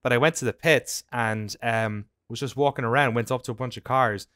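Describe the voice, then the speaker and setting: An Irish man in his thirties, a podcast co-host telling anecdotes casually in an animated, informal way. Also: mid-range voice, fairly quick, no room sound, studio quality. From Ireland. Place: indoor room at home on a video call.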